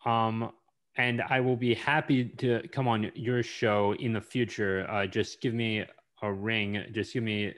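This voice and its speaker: A man hosting a podcast interview in a casual, halting way, often repeating and stumbling over his words. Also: on the low side, fairly even intonation, a bit bright. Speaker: podcast host.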